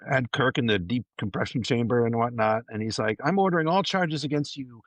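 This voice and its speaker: A man's voice: mid-range voice, a little fast, dry recording. Rambling, enthusiastic man in casual chat. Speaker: podcast panelist.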